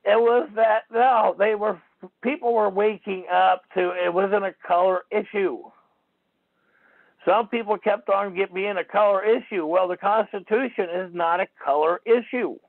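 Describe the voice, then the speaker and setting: Rambling gravelly man on a phone line. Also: high-pitched, fairly even intonation, unhurried, no room sound. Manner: rambling, repetitive, argumentative. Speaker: talk show host. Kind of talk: rambling call-in radio monologue. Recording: tinny phone-line call-in audio.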